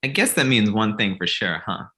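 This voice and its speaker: A man's voice: fairly low pitch, sing-song, moderate pace, pushed and bright. A man playing a cocky, wry grown son who lives with his parents, in a comedy table read. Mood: petulant. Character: cocky, needy grown son, amateur film buff, living with parents.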